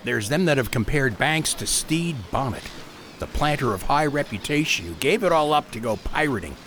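Guy as a knowing gossip in a radio play, medium pitch, lively, slight noise behind. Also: normal speed, close to an everyday voice. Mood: musing. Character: shrewd onlooker gossiping about pirates. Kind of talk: radio drama acting.

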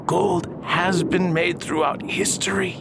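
Man acting a grandiose, obsessed old Victorian alchemist, slow-spoken. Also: high voice, fairly even intonation, gravelly, heavy background noise, full character voice. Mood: furious. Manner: grandiose, declamatory old-fashioned speech. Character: obsessed older male Victorian alchemist, proud, embittered father. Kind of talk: theatrical radio drama acting.

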